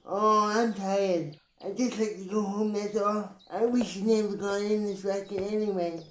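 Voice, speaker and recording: A woman playing a tired, good-natured, slow-witted male mover's helper in an old-time radio drama, talking in simple working-class slang. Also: mid-range voice, fairly even intonation, roomy sound, lightly acted, poor audio. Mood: weary, complaining.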